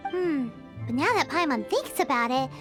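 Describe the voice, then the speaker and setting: A woman voicing a tiny, chirpy fairy girl companion in a video game, with a squeaky, childlike, exclaiming delivery. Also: animated, normal speed. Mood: curious, perky. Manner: childlike, exclaiming, refers to self in third person. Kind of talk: video game character dialogue. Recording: loud game music behind. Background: loud tinkling game music.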